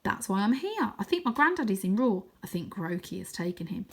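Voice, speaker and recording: A woman's voice: medium pitch, big pitch swings, a little fast, breathy edge. A woman voicing an anxious, bewildered young boy in a children's story, speaking in an urgent, pleading and questioning way. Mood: alarmed, urgent. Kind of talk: children's storybook character reading.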